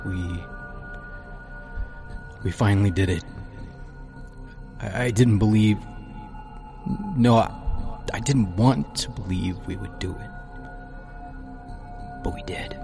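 A man playing an anguished astronaut recording a halting log in an audio drama, grieving and horrified. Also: lowish voice, creaky, over music. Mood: stunned, grave. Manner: halting, repeats himself.

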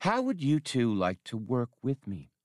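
A man's voice: mid-range voice, huge pitch swings, measured pace, dry recording, studio quality. A man voicing an eager, fussy male alien academic with precise scholarly phrasing in an audiobook.

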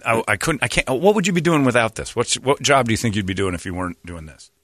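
A man's voice: mid-range voice, lively intonation, a bit bright, no echo, clean studio sound. Joking male talk show host. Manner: joking, quick to laugh. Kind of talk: casual chat.

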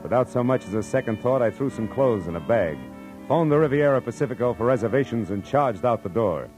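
An actor playing a wry, world-weary private eye, slangy and terse, in 1940s radio style. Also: male voice, medium pitch, expressive, a little fast, over music, lightly acted. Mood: wry narration. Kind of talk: 1940s radio acting, first-person narration.

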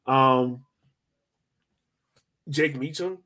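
A man's voice: mid-range voice, extremely slow, bright, forceful tone. An American man co-hosting a sports podcast in a casual, slangy chat style, breaking down players and performances. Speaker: podcast co-host.

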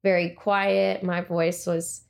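A young woman with a quiet voice, talking hesitantly with many fillers. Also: on the low side, little pitch movement, takes it slowly, creaky. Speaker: guest. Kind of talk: casual conversation.

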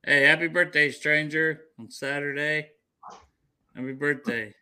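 30s dude co-hosting, cracking jokes, bright punchy voice a bit high. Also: male voice, steady tone, a little slow, smooth. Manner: joking, teasing. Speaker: co-host. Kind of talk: casual banter.

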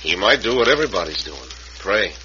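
A folksy, earnest frontier doctor in an old radio drama. His voice is low and friendly, on a muffled recording with clear noise behind it. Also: very animated, fairly quick, pushed and bright, exaggerated voice. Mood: earnest, concerned. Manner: folksy, plain-spoken.

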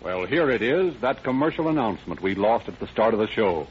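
A man's voice: fairly low pitch, big pitch swings, fairly quick. A man with an American accent narrating and announcing a 1930s radio show in a polished, dramatic delivery. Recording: dull 1930s radio recording, music behind.

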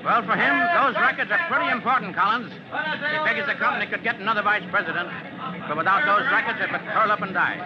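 A steady, fatherly fire captain, played by a male actor in a 1940s radio serial. He speaks with assurance in a very high-pitched male voice. The recording is dull and noisy, with commotion behind. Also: quick pace, natural voice. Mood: calm, knowing. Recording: muffled old radio recording, loud noise, commotion.

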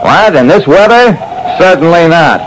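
A man's voice: a little high, lively intonation, measured pace. An actor playing a male investigator who narrates his own adventure in a theatrical, clipped classic radio style. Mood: wry, dramatic. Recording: noisy old radio broadcast.

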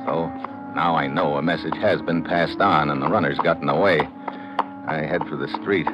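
A very deep-voiced, tough male secret agent from a vintage radio drama. He speaks in terse, clipped, hardboiled lines. The old recording is muffled, with music underneath. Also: steady tone, normal speed. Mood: tense, grim. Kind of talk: 1950s radio drama acting and narration.

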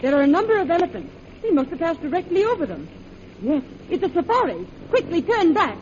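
An actress playing a commanding, excitable woman traveller in an old radio adventure, urgent and theatrical. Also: extremely high pitch, a little fast. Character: commanding, excitable female air traveller on a jungle search. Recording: muffled old radio recording, heavy noise.

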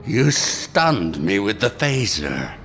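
An actor playing an eccentric, delusional male vagrant in an audio drama, halting and dramatic. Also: on the low side, very animated, fairly bright tone, a little hiss or hum, full character voice. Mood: dazed, pleading. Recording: long echoing reverb, faint music.

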